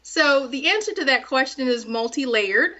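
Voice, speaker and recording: Bright woman guest, dull lo-fi sound. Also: high-pitched, animated, normal speed. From South Florida, multi-ethnic Caribbean family. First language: French. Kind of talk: conversational interview answers.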